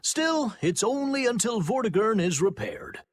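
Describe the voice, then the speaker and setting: A wily game merchant, wheedling and defensive. His voice is high and husky, bouncing around as he haggles. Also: big pitch swings, a little slow, heavily acted. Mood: sly, defensive. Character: sly, defensive male merchant.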